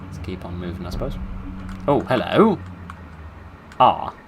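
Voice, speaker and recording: A man's voice: low voice, lively intonation, a little slow, creaky, background noise. A British man giving casual commentary on a game and reading its story aloud, with dry, tongue-in-cheek humour. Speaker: gamer narrating a horror game playthrough.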